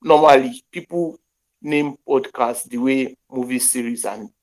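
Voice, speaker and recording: A man's voice: medium pitch, fairly even intonation, unhurried, rough recording. A young man from Nigeria with a Nigerian accent, hosting a podcast over an internet call and chatting casually in pidgin and English with Yoruba phrases, joking as he goes. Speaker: podcast host.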